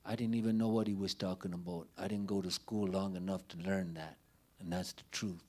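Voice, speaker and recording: A middle-aged man telling personal stories as a panelist at a public forum, in a deliberate, halting way with short phrases and pauses, into a handheld microphone. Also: deep voice, little pitch movement, darkish tone. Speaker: panelist speaking at a community forum. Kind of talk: unscripted public speech, personal storytelling. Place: indoor forum room, before a banner. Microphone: handheld microphone held near the mouth.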